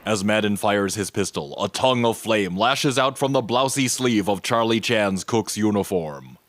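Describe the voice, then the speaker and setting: A man's voice: lowish voice, expressive, moderate pace, slight background noise, lightly acted. A man reading scripted narration, describing scenes in the present tense for an audio drama.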